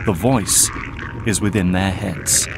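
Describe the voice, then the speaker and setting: A man giving scripted narration for an audio drama in an even, descriptive manner. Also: low voice, takes it slowly, gravelly, noisy background.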